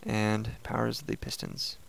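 Raspy, gravelly man chatting over gameplay. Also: medium pitch, measured pace. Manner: relaxed, chatty, thinking aloud while playing. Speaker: let's play host. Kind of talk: casual gameplay commentary.

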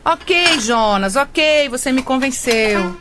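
A woman's voice: sits high, expressive, slow, over loud music. An actress playing an ambitious wife who coaxes her husband in a radio drama. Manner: coaxing, wheedling. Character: scheming ambitious wife and cook.

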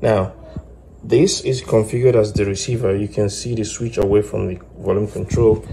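A man explaining step by step in a halting way, as host of a hands-on electronics tutorial. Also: lowish voice, fairly even intonation. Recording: clear background noise with clicks.

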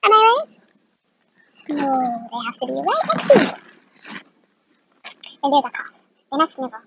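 A woman performing a theatrical audition monologue as an exasperated, emphatic complainer disgusted by sick people, with deliberate pauses. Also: high voice, big pitch swings, creaky, very noisy, full character voice. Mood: disgusted complaint.

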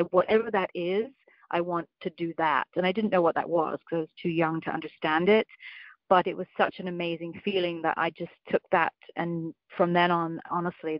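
Low-voiced woman with an English accent. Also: no room sound, dull audio. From Scotland, raised in England. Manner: reflective, with frequent ums and restarts. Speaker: film producer, guest. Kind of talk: conversational interview.

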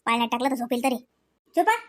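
A woman's voice: a little high, no echo, studio quality, lightly acted. A woman voicing chatty, homely village women in a family cartoon, with a rural Varhadi Marathi accent and a gossipy, colloquial manner. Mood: homely, concerned. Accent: Varhadi Marathi, rural Vidarbha. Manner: gossipy, colloquial, drawn-out kinship exclamations.

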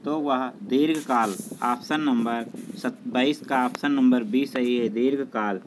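A man's voice: medium pitch, steady tone, a little slow, noisy background. A man working as an exam tutor, methodically reading out multiple-choice questions and their answers.

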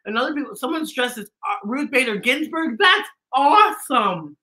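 A man presents for children in a bright, very animated voice that swings up and down. He is theatrical and exclamatory, often slipping into playful sing-song. His words are slightly muddied, as if spoken around false teeth. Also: very high voice, normal speed. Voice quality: slightly impeded articulation from costume fangs. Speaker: children's story-time host, drag performer.

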